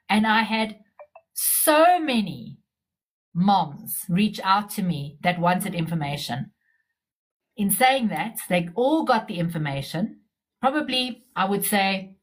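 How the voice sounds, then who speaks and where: A woman, a digital marketer, talking bluntly and openly in a relaxed conversation over a video call. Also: medium pitch. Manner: blunt and outspoken. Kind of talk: casual conversation.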